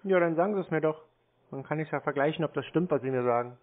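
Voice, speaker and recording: A man's voice: on the high side, fast. A man talking casually and sceptically on a phone call, challenging the other person in a dry, needling way. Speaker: scambaiter taking a scam call. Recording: narrow, muffled phone sound.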